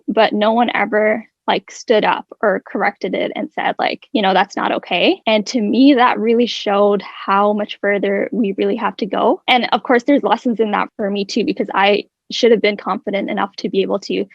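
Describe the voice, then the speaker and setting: A woman's voice: mid-range voice, vocal fry, no echo. A young woman, guest on a podcast, speaking earnestly and thoughtfully from prepared notes, with frequent "like" and restarts. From Ireland. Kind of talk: prepared personal talk. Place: podcast recording space. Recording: dry, dull lo-fi podcast audio.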